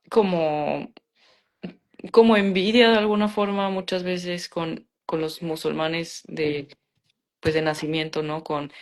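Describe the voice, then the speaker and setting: A woman with a somewhat low voice co-hosts a casual live chat. She talks conversationally, pausing on fillers and ending points with little tag questions. Her voice comes through a video call. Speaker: co-host of a live talk show.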